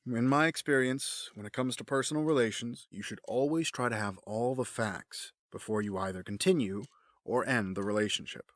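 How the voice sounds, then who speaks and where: A man playing a smooth, scheming middle-aged gentleman with a fatherly front, speaking in measured, formal phrases in an audio drama. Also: mid-range voice, dry recording, lightly acted.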